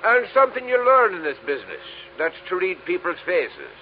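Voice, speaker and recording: A cagey New cabbie in a vintage radio drama. His voice is high and swings freely, full of knowing, working-class talk. The recording is narrow and muffled, like an old broadcast. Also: wildly swooping pitch, normal speed, slight background noise. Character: streetwise, cagey New York cab driver, haggling man. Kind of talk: 1950s radio drama acting.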